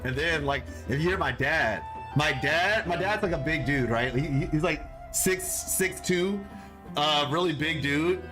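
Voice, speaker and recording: A man's voice: fairly high pitch, measured pace, music in the background. Rambling laid-back male streamer. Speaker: game streamer. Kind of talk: casual live-stream chat.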